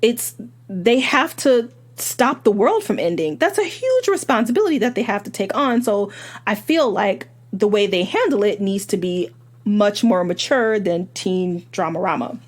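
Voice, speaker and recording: A woman reviewing a book in a casual, rambling monologue, her voice slightly hoarse, with fillers and restarts. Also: mid-range voice, lively intonation, moderate pace.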